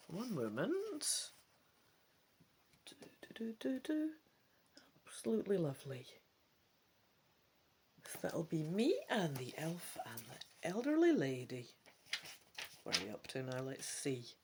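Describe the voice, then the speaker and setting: A woman's voice: low voice, unhurried, pauses often, a little hiss or hum. A woman narrating a gamebook as a dramatic, theatrical storyteller. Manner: expressive, theatrical.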